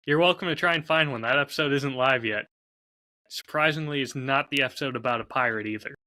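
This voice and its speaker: A man's voice: medium pitch, fast talker, sharp tone, no echo. A man co-hosting a podcast in casual banter, with a dry, self-deprecating manner. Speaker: podcast co-host.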